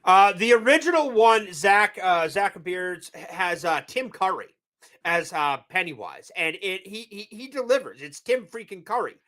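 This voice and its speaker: A man from New England co-hosting a casual movie review chat, rambling and halting with fillers and restarts. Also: high-pitched, expressive, pushed and bright. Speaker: podcast co-host.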